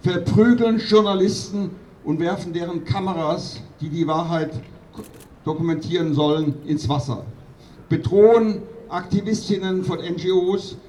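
A man delivering a political speech at a protest rally in an emphatic, accusatory, declamatory way with deliberate phrasing. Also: sits high, darkish tone. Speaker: activist doctor speaking at a protest rally. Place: outdoor rally. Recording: distant outdoor mic with clear noise.

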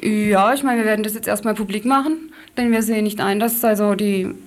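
A woman interviewed on radio as a campaign activist, explaining things spontaneously and haltingly, correcting herself as she goes. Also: mid-range voice.

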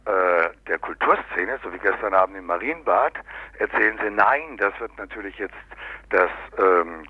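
Guy ranting about local politics, rough husky voice, very dry sound, kinda dull lo-fi radio recording. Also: fairly low pitch, no room sound. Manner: sardonic, colloquial, often tags statements with "ne?". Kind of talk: unscripted critical political discussion.

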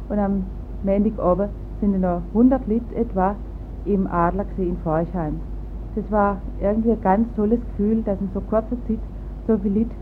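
A woman with a Baden Alemannic accent hosting a radio programme in an informal moderating style. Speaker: radio host. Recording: muffled phone-like sound, rumbling noise.

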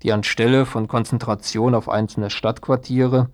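Mid-pitched man reading radio news. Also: little pitch movement, moderate pace.

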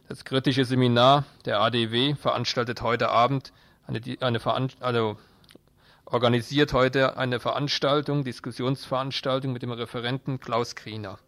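A man's voice: mid-range voice, fairly bright tone, no room sound. A man presenting a scripted news introduction on the radio.

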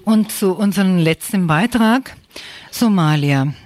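A woman presenting radio news from a script, with halting, deliberate phrasing. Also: mid-range voice, expressive, a little mellow, a little hiss or hum.

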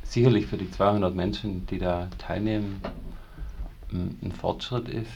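A man's voice: deep voice, fairly even intonation, moderate pace, gravelly, darkish tone. A man taking part in a panel discussion, putting forward his own opinion in unscripted speech. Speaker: panel participant. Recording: faint background noise.

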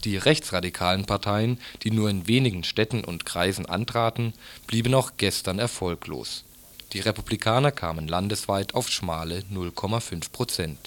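Low-voiced man presenting radio news. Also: normal speed, a bit bright. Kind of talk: scripted radio news narration.

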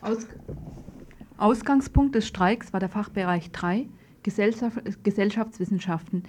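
A woman's voice: medium pitch, unhurried, darkish tone. A woman radio presenter reading a scripted political news report.

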